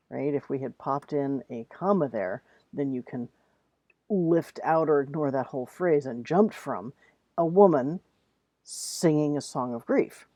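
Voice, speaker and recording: A woman giving a casual, conversational writing lesson, reading with deliberate emphasis and commenting plainly. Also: deep voice, warm tone, no echo. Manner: emphatic, reads examples aloud deliberately, then comments plainly; informal, conversational. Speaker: writing teacher. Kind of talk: casual instructional talk to students.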